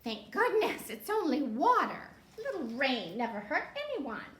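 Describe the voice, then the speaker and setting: A woman voicing excitable, squabbling witches from a children's storybook, exclaiming with stretched-out words. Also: sits high, normal speed. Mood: delighted. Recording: roomy echo, faint background noise.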